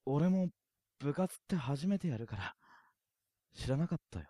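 Woman voicing a low, lively teenage boy. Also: normal speed. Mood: gentle, candid. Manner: light, self-deprecating, joking. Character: easygoing, teasing high school boy, older club president. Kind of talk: anime-like Japanese audio drama acting.

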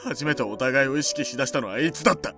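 A young man playing a bitter, jealous high school boy in a Japanese voice drama, with a cutting, taunting way of talking. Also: quick pace, sharp tone, faint music behind, lightly acted. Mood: wounded, angry.

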